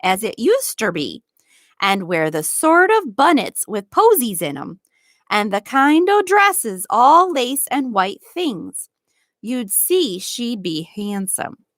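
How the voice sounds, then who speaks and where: A woman voicing a kindly, loyal old male gardener in a storybook, speaking in a gentle, deliberate rustic dialect. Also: a little high, big pitch swings, dry recording, studio quality, pitched above the performer's own voice, heavily acted. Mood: earnest, loyal. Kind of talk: storybook read-aloud.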